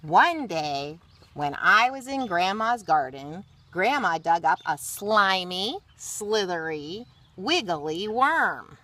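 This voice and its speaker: A woman's voice: fairly low pitch, big pitch swings, slow, pushed and bright, a little hiss or hum. A woman reading a picture book to children, expressively, with chatty asides and simple explanations. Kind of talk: read-aloud with chatty asides.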